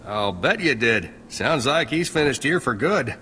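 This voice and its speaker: An actor playing a glib, chatty and self-interested salvage trader in a video game. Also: male voice, medium pitch, big pitch swings, a little slow, sharp tone, faint music behind. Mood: pleased.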